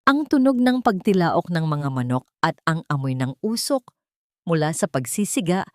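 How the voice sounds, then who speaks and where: A woman delivers dramatic scripted narration for an audio drama. Her voice sits low, and the sound is close and dry, with very little room around it. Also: big pitch swings, normal speed, no room sound.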